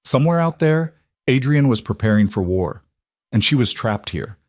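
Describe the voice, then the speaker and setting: A man's voice: medium pitch, expressive, moderate pace, darkish tone, no room sound, studio quality. A man reading a scripted dramatic romance story as an audiobook narrator.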